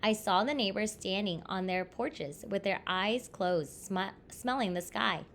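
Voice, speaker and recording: A woman reading a children's picture book aloud expressively, with deliberate phrasing and stressed words. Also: mid-range voice, a bit bright, a little hiss or hum. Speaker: storybook reader.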